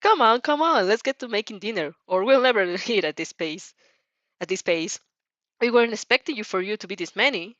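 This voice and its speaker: A woman's voice: medium pitch, animated, a little fast, fairly bright tone, no echo, highs cut off, lightly acted. A woman voicing a jovial, sentimental, welcoming father in a story reading. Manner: jovial, hospitable.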